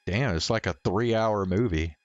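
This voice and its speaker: A man livestreaming a game, chatting casually in a laid-back, halting way through a slightly dull mic. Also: fairly low pitch, no room sound. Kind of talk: casual chat while streaming a game.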